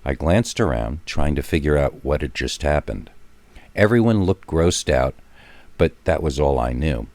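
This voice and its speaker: Male horror storyteller, very low voice, first-person and dramatic, with a wry conversational streak. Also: expressive, unhurried, darkish tone, no room sound. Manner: wry, conversational asides to the listener.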